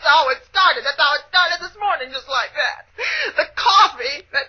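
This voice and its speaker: An actress playing a fussy, anxious middle-aged spinster, precise and indignant, in 1940s radio suspense style. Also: female voice, high voice, moderate pace, lightly acted. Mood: distraught. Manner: precise, indignant, fretful, breaking into cries. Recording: muffled old radio broadcast with music.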